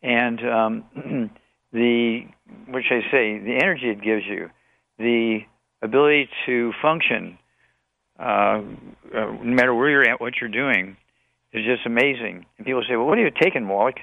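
Elderly man, creaky, on a phone-like line. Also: mid-range voice, normal speed, no echo. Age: 80s. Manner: rambling, list-like repetition. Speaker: guest health supplement promoter. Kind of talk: radio call-in question and answer.

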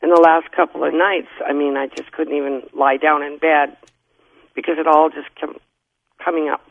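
Hoarse woman caller on phone line. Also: low voice, steady tone, slight background noise. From North Dakota. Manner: slow, halting and strained.

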